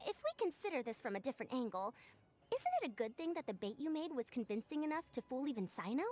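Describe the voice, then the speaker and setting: A spirited woman scholar in a game, smug and lecturing like an elder. Her voice is very high and swings widely in pitch. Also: sing-song, fast talker, a little mellow. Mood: reasoning. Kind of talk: video game voice acting.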